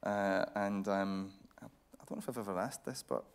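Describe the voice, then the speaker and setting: A man's voice: on the low side, normal speed, creaky, clean tone. A man interviewing a guest on stage in a conversational style, as a TV reporter. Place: radio theatre stage.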